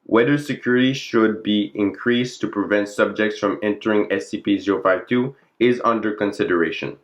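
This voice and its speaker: A man's voice: on the low side, normal speed. A man narrating a fictional classified document in a formal, clinical log-reading manner. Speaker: narrator and presenter of the channel.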